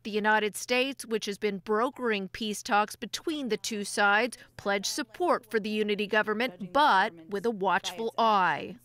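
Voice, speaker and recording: A woman's voice: mid-range voice, measured pace, hardly any pauses, fairly bright tone. A woman narrating a scripted news report as a television reporter.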